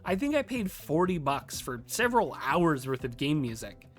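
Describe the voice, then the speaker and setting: A man's voice: on the high side, big pitch swings, moderate pace. A man presenting an informal scripted tutorial in a conversational, emphatic way. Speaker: video host, game developer and former freelance artist.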